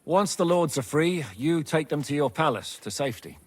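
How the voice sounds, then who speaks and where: A man's voice: on the high side, animated, moderate pace. An actor playing a commanding male raid captain giving orders in a businesslike way.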